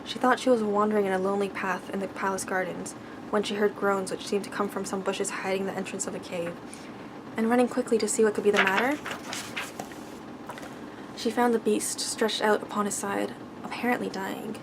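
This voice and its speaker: A soft-spoken woman reading a bedtime story in ASMR style, stumbling now and then over the words. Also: medium pitch, fairly even intonation, fairly quick, noisy background.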